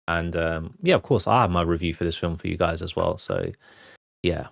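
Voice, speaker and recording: A man's voice: sits low, moderate pace, gravelly, dry recording, studio quality. A man hosting a film show, giving casual, off-the-cuff commentary on trailers. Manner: informal, off-the-cuff.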